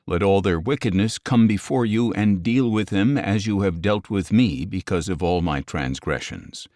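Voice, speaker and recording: A man reading scripture as an audiobook narrator in a solemn, grave, measured delivery with deliberate pauses. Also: low voice, a little mellow, dry recording, studio quality.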